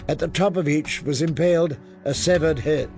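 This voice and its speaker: A man narrating a fantasy audiobook in a deliberate, grave manner. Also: fairly high pitch, darkish tone, soft background music.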